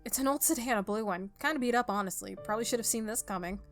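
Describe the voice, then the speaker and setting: A woman voicing a hesitant, polite stranded hitchhiker man from a visual novel, in a halting way. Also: a little high, soft background music, lightly acted. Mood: hesitant, apologetic. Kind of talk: visual novel character reading.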